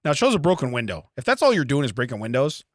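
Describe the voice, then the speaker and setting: Animated, wisecracking male podcast co-host. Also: mid-range voice, racing, no room sound, studio quality. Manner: jokey, wry running asides. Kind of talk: casual comedy chat, reading and riffing on a news story.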